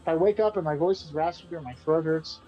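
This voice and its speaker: A man, the band's singer and guitarist, answering interview questions in a relaxed, rambling way and often repeating himself. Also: a little high, quick pace, muffled recording, faint music behind. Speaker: band vocalist and guitarist being interviewed.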